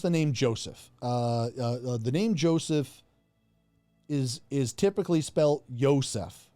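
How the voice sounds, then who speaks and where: A man's voice: mid-range voice, warm tone, no room sound. A man giving an unscripted Bible teaching talk, rambling with many fillers and restarts.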